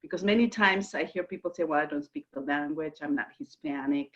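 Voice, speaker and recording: A woman's voice: low-pitched, big pitch swings, fairly quick, gravelly, a bit bright, highs cut off. A woman from Mexico with a Mexican Spanish accent, speaking as a guest on a conference call in an enthusiastic, chatty way with frequent fillers. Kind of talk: casual conference call chat. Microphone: video call.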